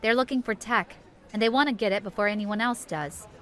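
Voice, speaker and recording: A woman's voice: mid-range voice, a little fast, fairly bright tone, close to an everyday voice. A woman playing an easygoing, diplomatic ranger sergeant in an audio drama, friendly and casual. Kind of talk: post-apocalyptic audio drama acting.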